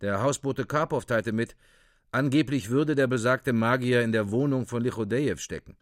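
A man's voice: mid-range voice, moderate pace, no echo, studio quality. A man narrating a literary radio drama in a clipped, report-like delivery.

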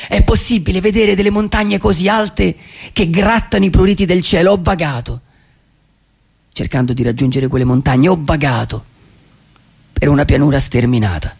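A man playing a dreamy vagabond poet who calls himself nobody, in a halting, reflective theatrical monologue in speech. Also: sits high, vocal fry, a little mellow. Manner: halting, repeats words and phrases reflectively.

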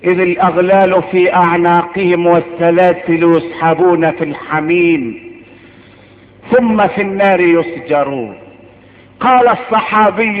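A man with an Egyptian accent delivering an impassioned sermon, emphatic and declamatory, repeating phrases for effect. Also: high-pitched. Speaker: preacher. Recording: phone-like band, long hall reverb.

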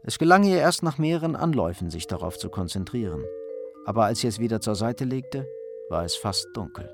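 A man's voice: on the low side, very animated, brisk. A man narrating a crime story from a script, as in a radio drama. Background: music.

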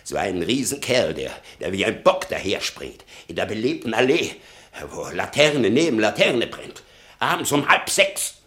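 An actor playing a bitter, sarcastic old father in a German radio play, rambling and muttering with a gravelly voice. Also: lowish voice, sing-song, moderate pace, fairly bright tone. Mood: agitated, sarcastic. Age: older. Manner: rambling, sardonic, muttering and flaring up.

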